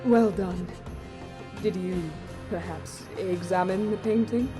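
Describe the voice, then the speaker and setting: Casting: actress as calculating royal game lady, formal and imperious, mid-pitched voice, music underneath. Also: slow pace, close to an everyday voice. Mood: coolly approving. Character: regal, calculating woman who rewards obedient servants. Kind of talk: scripted video game dialogue.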